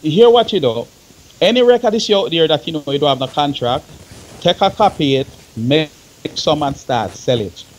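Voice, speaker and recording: A man's voice: fairly high pitch, lively intonation, normal speed, low-quality recording. A man with a Jamaican accent explaining things emphatically in casual panel talk, repeating phrases for stress.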